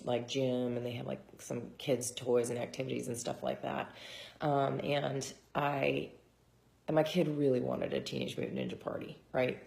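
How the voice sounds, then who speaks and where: Woman, hoarse, low pitched, gravelly, rambling casual chat, thirties. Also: darkish tone. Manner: chatty, rambling with many fillers. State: hoarse from shouting. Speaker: vlogger and mom.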